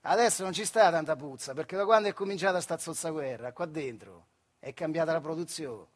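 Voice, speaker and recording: Guy playing a boastful bossy foreman, coarse marche accent, high voice for a man, declaiming like theater. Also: fairly quick, husky. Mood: gruff, instructing. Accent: central Italian, Marche. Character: bossy, boastful lame male factory foreman. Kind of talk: theatrical monologue in dialect.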